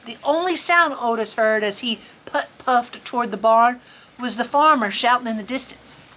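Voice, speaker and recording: A woman's voice: fairly high pitch, normal speed, pushed and bright, rough recording. A woman reads a children's storybook in a folksy, playful way, chatting in casual asides as she hosts. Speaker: farm storyteller host. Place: outdoors on a farm in cold weather. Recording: outdoor farm ambience, background noise. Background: faint outdoor ambient noise.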